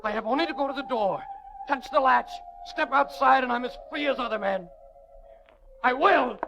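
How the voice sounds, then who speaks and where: A man's voice: very high voice, normal speed, creaky, dull audio. An actor playing an anguished, lonely prisoner recounting his confinement in an old radio drama, with theatrical, intense delivery. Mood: defiant, agitated. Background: music.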